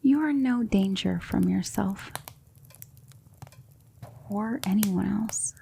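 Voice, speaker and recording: A gentle demonic mentor of magic, played by a woman, guides in an ASMR roleplay. The voice is soft and dark with a gravelly fry, measured and reassuring, often sinking to a whisper. A faint noise sits behind it. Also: medium pitch. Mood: calm, reassuring. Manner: measured, instructive, hushed.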